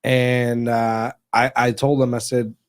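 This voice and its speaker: Co-host, man, middle-aged, easygoing and rambling, mid-pitched voice. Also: flat delivery, slow pace. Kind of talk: casual live stream chat.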